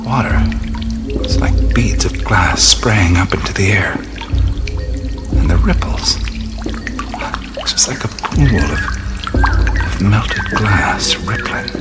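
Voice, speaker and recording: Slow, wondering adventurer over music. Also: male voice, fairly low pitch, animated. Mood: entranced wonder. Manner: slow, halting, musing, often dropping to breathy whisper. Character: awestruck male adventurer exploring mysterious ruins, wondering and hesitant. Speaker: lead actor in an audio drama. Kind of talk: radio drama acting, first-person narration of discoveries. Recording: music bed behind the voice.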